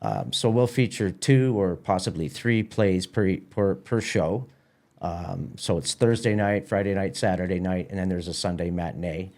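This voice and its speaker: A man's voice: sits low, normal speed, darkish tone. A man chatting as an interview guest about his work, often repeating words as he goes. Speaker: guest, theatre festival organizer. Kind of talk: casual podcast interview.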